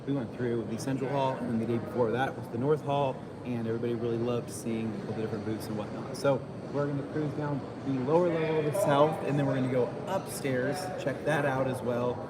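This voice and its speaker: A man with a hoarse, worn-out voice strolls through a packed trade show floor, chatting loosely and joking about himself. The phone picks him up amid a heavy wall of crowd noise, at an ordinary male pitch. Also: a little fast, hardly any pauses. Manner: rambling, relaxed, light self-mocking. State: hoarse, losing his voice. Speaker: livestream host. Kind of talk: casual walking tour chat. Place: busy trade show hall. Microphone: phone carried while walking. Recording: phone audio in loud crowded hall.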